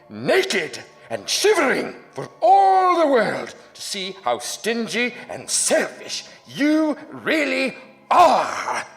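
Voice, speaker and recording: A grandiose, menacing announcer for a horror radio play. His delivery swings dramatically between hushed lows and big highs, taken slowly. Music plays underneath and the voice rings in a long hall. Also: full character voice. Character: pompous, theatrical horror-show announcer, solemn then menacing.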